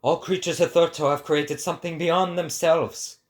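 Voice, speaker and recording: A man's voice: fairly high pitch, fairly even intonation, normal speed, natural voice. A man voicing an impassioned male prophet who declaims like an orator in a dramatic reading.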